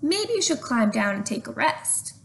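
A woman reading a picture book aloud as a gentle father reassuring his young son. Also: fairly high pitch, very animated, normal speed. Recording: noisy home webcam recording.

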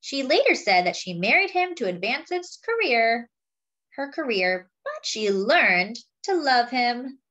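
A woman reading a picture book aloud, with expressive, dramatic phrasing. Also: fairly high pitch, unhurried, lo-fi sound. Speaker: storybook reader.

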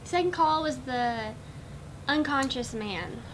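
A young woman co-hosts a vlog, teasing her friend and laughing as she talks. Her voice sits a little higher than usual. Clear background noise sits behind her. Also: animated, takes it slowly. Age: young adult. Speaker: co-host. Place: office lounge indoors.

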